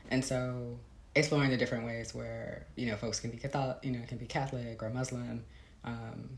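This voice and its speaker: Female interviewee, author type. Crackly vocal fry, thoughtful and searching delivery, reverberant room sound. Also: a bass voice, little pitch movement, fairly quick. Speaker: interviewed novelist. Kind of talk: reflective conversational interview. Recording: roomy, echoey sound.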